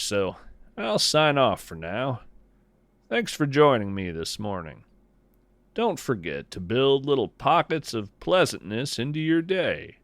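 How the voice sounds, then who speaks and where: An American man hosting a podcast, reading whimsical scripted narration in a wry, gently earnest storytelling manner. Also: medium pitch, vocal fry, dry recording.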